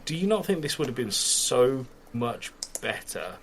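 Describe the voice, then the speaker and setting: A man's voice: mid-range voice, very animated, measured pace, a little hiss or hum. A British man chatting casually as a podcast host, giving blunt, critical opinions. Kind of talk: casual chat, opinionated review.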